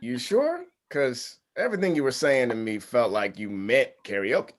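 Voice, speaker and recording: An easygoing young groom played by a man in a casual screenplay read. His pitch is lively and mid-range. He is evasive and hesitant, always smoothing things over. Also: normal speed. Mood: light teasing. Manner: hesitant, placating.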